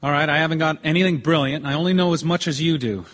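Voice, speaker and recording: Husky, thoughtful doubting juror, reverberant. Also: male voice, a little high, fast, a bit bright. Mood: measured doubt. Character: calm, thoughtful, doubting male juror who persists. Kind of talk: live audio theatre drama acting.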